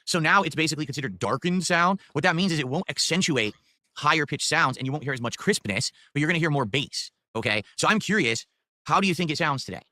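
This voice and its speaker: A man chatting in a casual stream monologue, words tumbling out very fast. His voice is bright and a little pressed. The sound is close and completely dry, like a desk microphone in a home room. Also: on the high side, expressive, dry recording. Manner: rambling explanation with many fillers. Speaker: streamer being reacted to. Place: home room with window open and fans running. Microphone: studio desk microphone.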